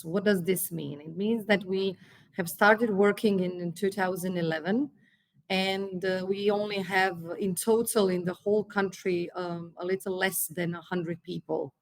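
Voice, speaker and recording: A woman from Croatia with a Croatian accent, a probation trainer answering interview questions in careful English, pausing often with fillers and restarts. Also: mid-range voice. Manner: hesitant, many fillers and restarts.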